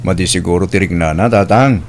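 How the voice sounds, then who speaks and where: A man's voice: low voice, brisk. A man playing a stern, exasperated young husband protective of his father, in an audio drama with an Ilocano accent. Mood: mildly reproving.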